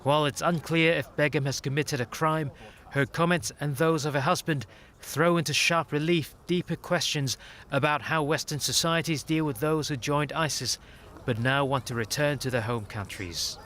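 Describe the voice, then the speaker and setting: A man's voice: on the high side, normal speed, a bit bright, slight background noise. A man reading scripted news reports as an anchor.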